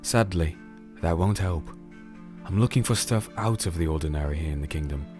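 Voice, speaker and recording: A man playing a calm, firm crusader in a fantasy audio drama, narrating and speaking in a measured, solemn way. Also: low voice, music in the background, natural voice. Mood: calm, probing. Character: disenchanted male crusader, calm, firm sword-bearing investigator. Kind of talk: audio drama dialogue and first-person narration.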